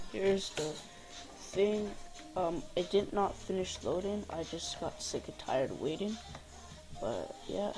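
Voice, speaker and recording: A gaming tutorial host with a mid-pitched voice takes it slowly. The delivery is informal and rambling, like casual step-by-step help. Music plays faintly behind the voice. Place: indoors at a computer.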